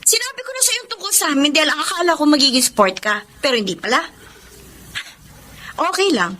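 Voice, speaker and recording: An actress playing a headstrong, defiant young daughter in a Filipino radio drama, speaking with a Filipino accent. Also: female voice, sits high, sing-song, brisk, pushed and bright, a little hiss or hum, exaggerated voice.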